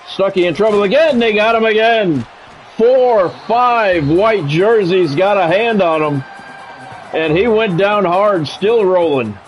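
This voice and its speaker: Male game streamer, slightly high-set voice, football play-by-play with casual grumbling asides, faint music underneath. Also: a little slow, poor audio. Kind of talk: sports play-by-play commentary mixed with casual stream chat.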